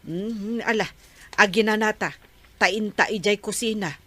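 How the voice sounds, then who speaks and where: Actress as bright-voiced Ilocano village councilwoman. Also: medium pitch, normal speed, no room sound, natural voice. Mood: agreeable. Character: practical, obliging village councilwoman who organises clean-ups. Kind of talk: Ilocano comedy radio drama acting.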